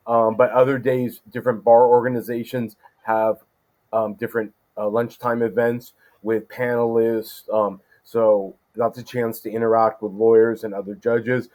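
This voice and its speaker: A man of ordinary pitch chats easily as an interview guest, pausing on fillers and restarting sentences as he gathers his thoughts. The voice sits right in the middle of the male range, with a relaxed, unhurried feel. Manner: relaxed, thinks aloud with many ums and restarts. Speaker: guest judge. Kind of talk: casual interview talk.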